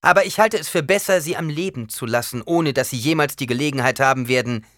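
A man reading an audiobook, voicing a cold, defiant young ruler who talks forcefully and rises into declaiming shouts. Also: medium pitch, fast, dry recording, clean studio sound, pitched above the performer's own voice, lightly acted. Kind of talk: audiobook character voice.

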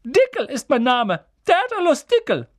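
A man voicing an excitable, delighted little man in a top hat, gushing and exclaiming, in an audiobook. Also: extremely high pitch, a little slow, pitched above the performer's own voice, heavily acted. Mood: overjoyed, excited. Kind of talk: audiobook character voice.

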